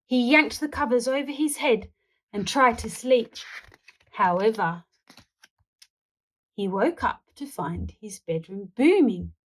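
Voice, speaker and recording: A woman storyteller reading a children's picture book aloud in an animated narrating style. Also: fairly high pitch, slow, long pauses, poor audio.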